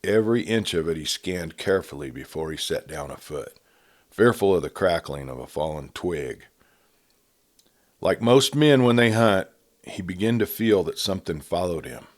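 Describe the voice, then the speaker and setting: Deep-voiced male storyteller, measured pace. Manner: measured, steady storytelling delivery. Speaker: storyteller reading a novel aloud. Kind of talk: audiobook narration of a Western.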